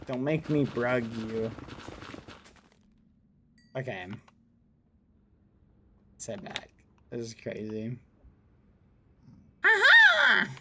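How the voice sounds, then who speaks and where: A man's voice: medium pitch, pushed and bright, a little hiss or hum. A man live streaming, chatting casually with his viewers in a rambling, playful, mock-indignant way. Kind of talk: casual chat with stream viewers while gaming.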